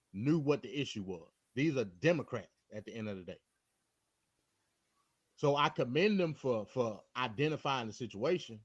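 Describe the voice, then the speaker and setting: A man's voice: medium pitch, muffled recording. A man speaking bluntly and with profanity, arguing casually about politics as a call-in guest over a phone.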